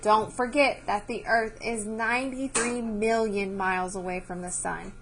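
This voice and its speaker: A woman's voice: mid-range voice, steady tone, unhurried, a bit bright, a little hiss or hum. A woman teacher reading a science book aloud to children, explaining as she goes and checking understanding with short questions.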